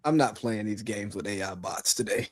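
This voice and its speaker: A man's voice: lowish voice, moderate pace, no echo. A man from the South, co-hosting a sports podcast in a teasing, laid-back way. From rural US South. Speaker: podcast co-host. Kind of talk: casual sports podcast chat.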